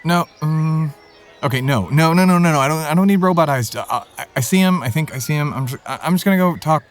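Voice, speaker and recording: An actor playing a casual, slangy, exasperated producer sidekick in a comedy audio drama. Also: male voice, fairly high pitch, fast talker, soft background music, natural voice. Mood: flustered. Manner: slangy, flustered.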